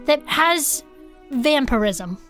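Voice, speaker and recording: A woman's voice: high-pitched, animated, slow, a bit bright, soft background music, heavily acted. A woman playing a cheerful, food-loving chef who co-hosts a cryptid club, chatting earnestly in a comedic audio fiction show. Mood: playful, enthusiastic.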